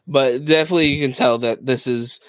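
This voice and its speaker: A man's voice: medium pitch, a little fast, fairly bright tone, no room sound. A man talking casually as a podcast co-host, explaining things with enthusiasm. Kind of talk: casual chat about video games.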